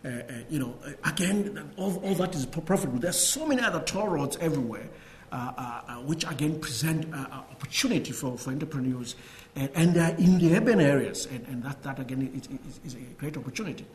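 A middle-aged man giving a talk and answering questions, halting often and repeating words. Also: fairly high pitch, animated. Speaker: guest speaker. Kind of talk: lecture and Q&A answers.

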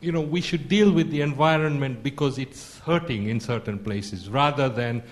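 A man's voice: mid-range voice, moderate pace. A man from Kenya in the audience, earnestly asking a pointed question.

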